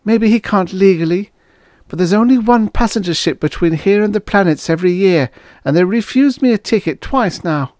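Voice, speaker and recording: A man's voice: high-pitched, animated, normal speed, a little mellow, no echo, clean studio sound, voice pushed up. A man voicing a sympathetic, unhappy and guarded young waitress in a science fiction story. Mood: unhappy, resigned.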